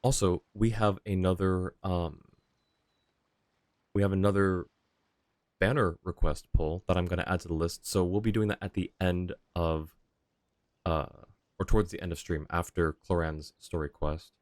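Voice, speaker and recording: Very deep-voiced male game streamer. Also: steady tone, moderate pace, no room sound, studio quality. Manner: rambling, deliberating, self-correcting. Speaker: video game livestreamer. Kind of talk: casual livestream commentary, thinking aloud. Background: soft game music.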